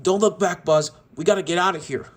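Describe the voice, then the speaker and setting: A man's voice: high-pitched, normal speed, voice pushed up. A man doing a scared cowboy doll. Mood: urgent. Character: frightened cowboy doll, male hero.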